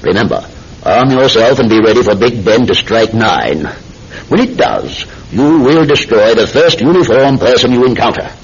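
An actor playing a sinister, controlled hypnotist posing as a polite tobacconist, with deliberate, theatrical delivery in an old-time radio drama. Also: male voice, medium pitch, very animated, slightly rough. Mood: commanding. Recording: muffled, hissy old radio recording.

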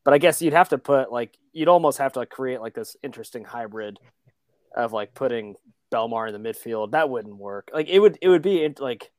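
A man's voice: mid-range voice, lively intonation, a little fast, no echo. A man chatting casually as a soccer podcast panelist, tentatively thinking aloud through tactical ideas. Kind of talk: casual chat, tactical speculation.

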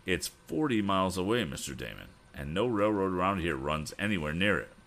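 Man as a grave, deep-voiced worried father. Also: lively intonation, a bit bright. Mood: hopeless. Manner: measured, resigned. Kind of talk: audiobook character voice.

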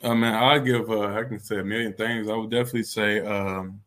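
A young American man with a fairly low voice answers interview questions casually. He talks candidly and conversationally, like a college athlete thinking out loud. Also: steady tone, a little fast. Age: 20s. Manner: candid and conversational, frequent "you know". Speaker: college football player being interviewed.

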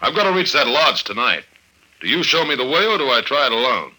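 A tough, determined American investigator in a vintage radio drama. His mid-pitched voice is very bright and forceful, with animated intonation. His delivery is brisk, wry and wisecracking. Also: full character voice, low-quality recording. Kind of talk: old-time radio acting.

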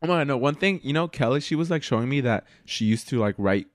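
A man's voice: medium pitch, lively intonation, fast talker, no echo, studio quality. A young man hosting a casual podcast chat with friends, loose and laughing as he talks. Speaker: podcast host.

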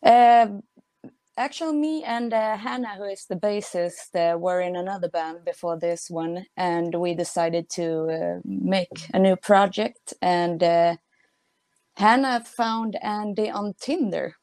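A woman's voice: fairly low pitch, unhurried, darkish tone, dry recording. A woman from Sweden with a Swedish accent, a musician chatting casually as an interview guest, hesitant and often laughing. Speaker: guest, punk band musician.

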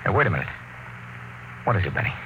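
An actor playing a grave, steady expedition commander who narrates a disaster in a vintage radio drama. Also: male voice, extremely low pitch, fast, narrow, tinny audio, slight background noise. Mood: concerned. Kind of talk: 1950s radio drama acting. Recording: old radio broadcast with music.

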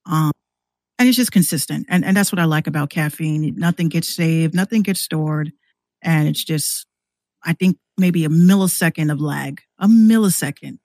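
A woman's voice: sits low, normal speed, a little mellow, no echo. A woman who streams and podcasts, chatting casually as a guest on a show.

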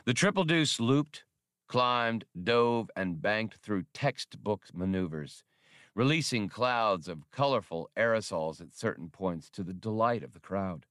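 A man reads an audiobook aloud. His low voice rises and falls widely, and he reads with animation. The recording is close and dry, with no room sound. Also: a little slow, no room sound. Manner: expressive, animated reading. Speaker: audiobook narrator. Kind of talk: audiobook narration.

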